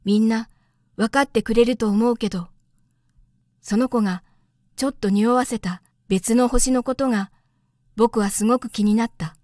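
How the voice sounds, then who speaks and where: A woman's voice: a little high, steady tone, normal speed, pauses often, darkish tone, no echo, studio quality. A woman narrating a storybook as a trained voice actor, reading from a script.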